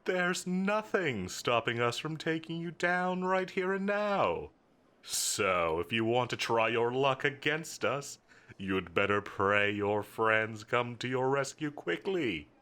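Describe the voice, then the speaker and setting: A man's voice: medium pitch, animated, takes it slowly, bright, forceful tone, clean tone. A man playing a smug, menacing villain boss in an audio roleplay, with a theatrical, mocking, condescending delivery. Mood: menacing, amused. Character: smug, menacing male supervillain boss toying with his enemy.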